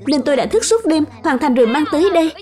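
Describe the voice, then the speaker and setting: A woman's voice: high voice, sing-song, fast, darkish tone, faint music behind. A woman voicing a polite, helpful young dressmaker in a dubbed children's cartoon, composed in manner.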